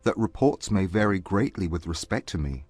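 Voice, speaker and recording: A man playing a proud, reserved young Regency gentleman, speaking gravely and formally in a dramatized audiobook. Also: sits low, animated, moderate pace, dry recording, soft background music. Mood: grave, guarded.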